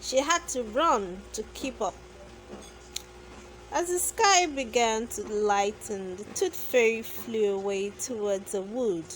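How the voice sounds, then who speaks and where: A woman narrating a children's bedtime story with deliberate phrasing suited to very young listeners. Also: fairly high pitch, slight background noise, low-quality recording. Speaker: children's book reader.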